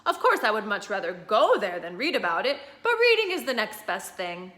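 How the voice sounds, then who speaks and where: A woman's voice: medium pitch, sing-song, moderate pace, fairly bright tone, roomy sound. A woman reading aloud, voicing a cheerful, dreamy man who talks eagerly. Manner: eager, enthusiastic.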